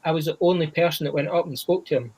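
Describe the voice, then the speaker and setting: A Scottish man talking boxing on a podcast, in a fairly high-pitched voice for a man. He rambles casually and restarts often, dropping "you know" every few words. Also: quick pace, a little mellow, husky, low-quality recording. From Scotland. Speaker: boxing podcast panelist named Chris. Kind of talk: casual chat.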